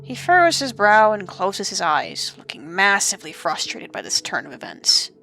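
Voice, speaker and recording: Expressive woman narrating an audio drama. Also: mid-range voice, moderate pace, a little hiss or hum. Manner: expressive, suspenseful. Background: music.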